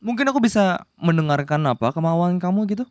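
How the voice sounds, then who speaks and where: A man's voice: sits high, animated, quick pace, darkish tone, dry recording. Man acting a flustered, bossy young demon prince. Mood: hesitant. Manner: stammering, flustered denials, possessive commands. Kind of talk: ASMR romantic roleplay acting.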